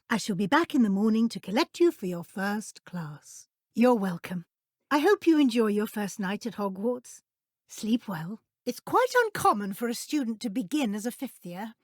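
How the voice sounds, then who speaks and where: Kindly but brisk British deputy headmistress of a wizard school, fantasy game. Formal diction, higher female register, close dry recording. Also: expressive, dry recording. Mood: welcoming. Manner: formal, crisp, measured schoolmistress phrasing. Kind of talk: scripted video game voice acting.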